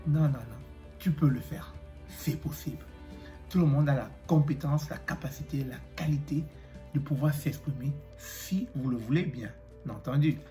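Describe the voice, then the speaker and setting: A man with a cold talking to his viewers about fear of public speaking, pausing often with fillers and clearing his throat. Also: medium pitch, warm tone, faint music behind. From Africa. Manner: hesitant, many fillers, direct address. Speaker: YouTuber. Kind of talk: motivational talk to camera.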